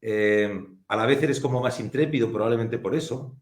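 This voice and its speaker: A man's voice: mid-range voice, reverberant. A man from Spain, a film producer, talking reflectively and unhurriedly as a guest in a casual interview. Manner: thoughtful, reflective, unhurried with frequent pauses.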